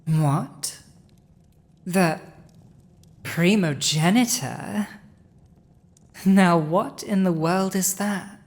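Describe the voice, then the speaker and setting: A woman's voice: on the low side, darkish tone. A woman playing a proud villainous dragon who has seized a human throne, speaking formal, archaic lines in a scripted audio roleplay. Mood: condescending, amused. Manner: formal archaic diction, haughty, deliberate.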